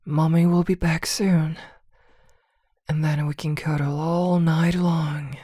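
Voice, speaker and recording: A woman playing a possessive, protective witch girlfriend in an intimate ASMR roleplay, speaking softly and soothingly with hesitant pauses. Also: low-pitched, flat delivery, no echo, clean studio sound.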